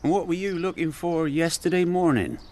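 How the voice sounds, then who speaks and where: A man's voice: fairly high pitch, brisk, darkish tone, slight background noise, natural voice. A man playing a nosy, teasing small-town local in a radio drama. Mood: sly, probing. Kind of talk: naturalistic radio drama acting.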